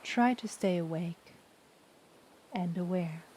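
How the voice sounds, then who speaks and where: Very slow, soft-spoken woman guiding meditation. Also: on the low side. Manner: soft, soothing, unhurried with long pauses. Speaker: yoga nidra meditation guide. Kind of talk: guided relaxation meditation. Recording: steady background noise, ocean waves. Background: sound of the ocean.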